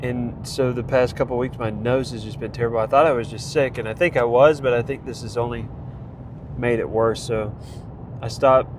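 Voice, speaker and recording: A man's voice: mid-range voice, steady tone, moderate pace. Congested man rambling outdoors with noise. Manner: rambling, many fillers and restarts. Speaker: vlogger tracking a training program. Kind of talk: casual rambling vlog update. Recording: noisy outdoor recording.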